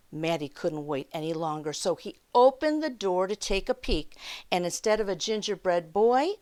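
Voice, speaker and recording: A woman reading a story aloud to children, expressive, with chatty asides and questions to her young listeners. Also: fairly low pitch, clean tone. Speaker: children's story time reader.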